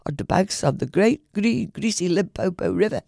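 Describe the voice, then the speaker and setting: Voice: medium pitch, expressive, normal speed, vocal fry, a little mellow, dry recording, clean studio sound. A storyteller voicing a cheeky young elephant calf. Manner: polite, then boastful.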